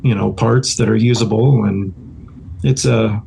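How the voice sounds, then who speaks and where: A man's voice: on the low side, fairly even intonation, measured pace, gravelly, a little mellow, breathy edge. A fifty-year-old American man from Iowa introducing himself casually in a reserved, slightly shy, plain-spoken way. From Iowa, United States. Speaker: guest, artist and machinist.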